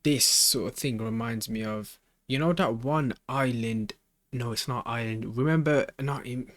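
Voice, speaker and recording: A man's voice: mid-range voice, unhurried, fairly bright tone, no echo. Guy doing casual reaction commentary, slangy, repeats stuff, really dry audio. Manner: slangy, informal, repeats himself. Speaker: reaction video host.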